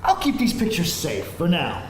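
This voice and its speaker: Male actor, scheming smug father, theatrical, animated intonation, live table read, echoey room. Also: sits high, normal speed. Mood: smug gloating. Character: smug scheming father who blackmails rival parents. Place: live event room with audience. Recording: echoey room, faint noise.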